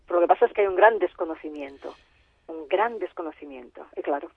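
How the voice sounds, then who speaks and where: A woman's voice: on the low side, animated, quick pace, gravelly, slightly rough, no room sound. A woman guest who works as a Bible translator, speaking by phone in a radio interview and explaining her points in a thoughtful way that often repeats and trails off. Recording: tinny phone line.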